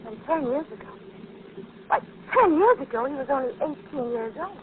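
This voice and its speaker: A woman's voice: high-pitched, animated, moderate pace. An earnest, dramatic young woman sleuth, brave yet alarmed. She speaks in the style of 1940s radio drama. The recording is narrow and tinny, with a faint hiss. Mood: insistent.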